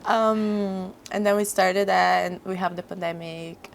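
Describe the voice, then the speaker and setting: A young woman from Brazil with a Brazilian Portuguese accent, speaking English as a second language, chatting as a podcast guest with halting phrasing. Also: medium pitch, steady tone, creaky, slight background noise. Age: young adult. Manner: halting non-native phrasing, frequent laughs. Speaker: guest model. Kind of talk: casual interview chat.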